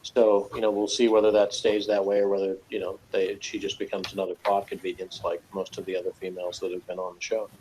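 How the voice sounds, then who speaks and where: Low-pitched rambling man, faint background noise. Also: completely flat delivery, fast talker, hardly any pauses, darkish tone, low-quality recording. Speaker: review show co-commentator. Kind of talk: casual TV review discussion.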